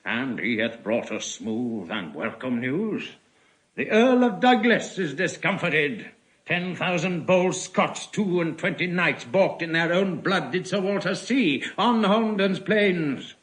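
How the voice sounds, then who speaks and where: Slow, solemn, careworn king. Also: on the high side, expressive, a bit bright, low-quality recording. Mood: stirred, proud. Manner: declamatory, measured, solemn oratory. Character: weary, careworn English king, grave and regal. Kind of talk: classical Shakespearean audio drama acting.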